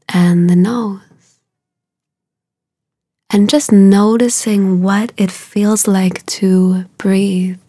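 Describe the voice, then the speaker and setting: A woman leads a guided meditation in a hushed, soothing voice. Her delivery is very slow and level, barely moving in pitch, and phrases trail off softly into breath. There is a gravelly fry in her tone, and the sound is close and unhurried. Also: dry recording.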